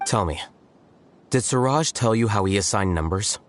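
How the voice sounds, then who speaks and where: A man playing an aloof, rational scholar in a video game, speaking in a measured, precise, detached way. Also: on the low side, lively intonation, a little hiss or hum, close to an everyday voice. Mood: detached, firm. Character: aloof, cerebral young male scholar, cool and rational.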